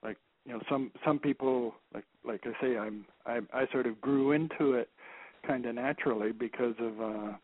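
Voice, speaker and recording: A soft-spoken man speaking as a guest, halting, with many repeated words and restarts. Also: mid-range voice, steady tone. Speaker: guest expert. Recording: phone-line audio.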